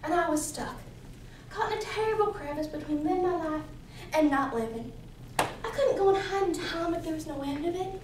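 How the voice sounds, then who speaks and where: Guilt-ridden runaway girl, fourteen played by a woman: high, intense, theatrical stage monologue in a very echoey room. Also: moderate pace, natural voice. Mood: anxious resolve. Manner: intense, theatrical first-person storytelling. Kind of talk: dramatic interpretation monologue. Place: indoor competition room, distant camera. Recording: echoey room from far off, noisy.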